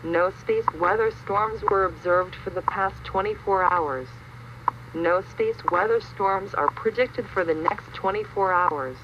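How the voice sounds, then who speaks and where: A woman's voice: mid-range voice, measured pace. A woman reading a formal scripted bulletin as a station announcer, heard through a shortwave radio. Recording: dull noisy shortwave radio reception.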